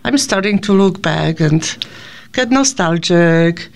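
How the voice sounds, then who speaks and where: A woman in her fifties speaks with a Polish accent and a gravelly, slightly fried texture. She guests on a radio interview, effusive and wistful as she talks. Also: on the low side, lively intonation, takes it slowly. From Poland. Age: 50s. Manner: effusive and nostalgic.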